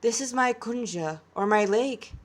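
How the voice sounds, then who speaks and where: Storybook sage, male figure played by a woman: kindly, stately, serene, slightly high voice. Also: measured pace, lightly acted. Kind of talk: storybook character reading.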